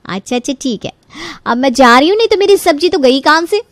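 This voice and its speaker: A woman playing a carefree, overconfident young daughter-in-law in an animated story, with a playful, breezy, cheeky manner. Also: sits high, fairly quick, no echo, voice pushed up. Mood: playful, hurried. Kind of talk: Hindi animated story voice acting.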